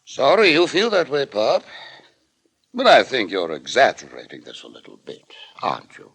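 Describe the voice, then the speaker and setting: A man's voice: mid-range voice, wildly swooping pitch, normal speed, a bit bright, slightly rough, exaggerated voice. A man playing a brash, slick stage magician in 1940s radio style, glib and cajoling. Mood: glib, placating. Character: brash, slick male stage magician who exposes tricks. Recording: noisy old radio recording.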